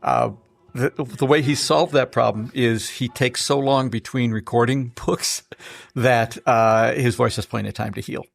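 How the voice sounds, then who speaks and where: Man from Minnesota, author guest, gravelly mid-pitched voice, easygoing storyteller who chuckles. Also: normal speed, soft background music. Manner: relaxed, anecdotal, chuckles while telling stories. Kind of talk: casual interview chat.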